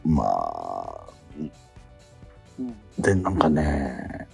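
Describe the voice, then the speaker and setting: A middle-aged man chatting casually as a radio host, animated but sometimes halting and reflective. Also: a bass voice, creaky, slightly rough, faint music behind. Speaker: radio host and theatre actor. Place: theatre auditorium during rehearsal.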